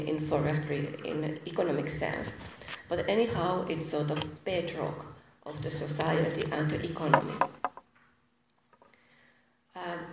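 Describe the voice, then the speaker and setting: A woman from Finland with a Finnish accent and a soft voice, giving an informal lecture as a guest speaker in a hesitant, halting way. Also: on the low side. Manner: hesitant, halting delivery with self-corrections. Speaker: guest speaker, visiting academic. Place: echoey indoor room. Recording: very echoey room, clear background noise.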